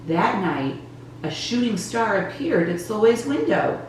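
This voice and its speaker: A woman reading a children's storybook aloud in an expressive, deliberate storytelling manner, recorded with a distant, echoey sound. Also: mid-range voice. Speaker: children's storybook reader for a church Sunday school. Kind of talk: scripted storybook narration for children. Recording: roomy echo, distant mic, faint noise.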